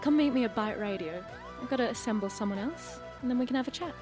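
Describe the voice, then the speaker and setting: A woman playing a confident rebel rocker in a tabletop game, sly, laid-back and unhurried. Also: a little high, lively intonation, music in the background, close to an everyday voice. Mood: sly, persuasive.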